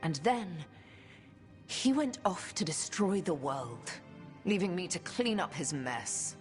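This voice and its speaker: An actress playing a solemn, wistful elf woman with a measured, reflective delivery in a fantasy game. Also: lowish voice, animated, soft background music. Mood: heartbroken, wistful. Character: solemn, wistful female elf inquisitor, once betrayed in love. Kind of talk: dramatic fantasy game voice acting.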